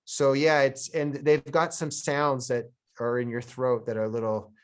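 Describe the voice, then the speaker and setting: A man's voice: medium pitch, level tone, normal speed, clear, resonant tone. A middle-aged man from Canada, a guest talking casually over a video call, rambling and correcting himself with small chuckles. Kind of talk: casual interview conversation. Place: at home abroad, remote call.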